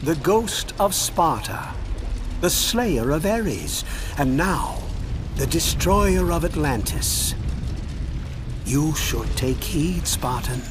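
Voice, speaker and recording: A man's voice: animated, takes it slowly. An actor playing an ominous, all-knowing old father god in a video game, scornful, taunting and portentous. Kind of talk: video game cutscene acting. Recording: loud music and rumble behind.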